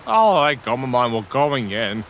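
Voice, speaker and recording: A man's voice: medium pitch, big pitch swings, a little slow, fairly bright tone, a little hiss or hum. A man voicing a weary, nervous boy adventurer in a comedic cartoon parody. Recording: music behind the voice.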